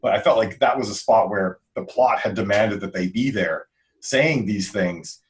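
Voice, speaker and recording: A man's voice: fairly low pitch, moderate pace, low-quality recording. A man hosting a casual group podcast, chatting in a rambling, jokey way. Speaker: podcast host.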